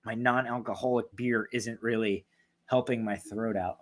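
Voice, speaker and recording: A man with a mid-range voice talks through his favorite horror films, his throat going hoarse. He is openly excited and swears a lot, rambling with plenty of fillers. The tone is casual, like a fan chatting to his viewers. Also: mid-range voice, normal speed. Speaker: horror film reviewer. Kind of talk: casual film ranking talk.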